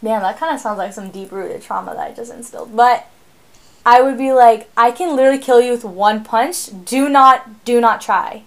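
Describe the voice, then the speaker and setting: A teenage girl co-hosting a podcast, chatting casually in an animated, rambling way, often laughing. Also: a little high, normal speed. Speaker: podcast co-host. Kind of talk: casual chat.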